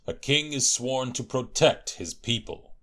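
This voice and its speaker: A man's voice: medium pitch, animated, a little slow, fairly bright tone. A man playing a stern, commanding warrior guardian of royalty in an audio drama, solemn and formal.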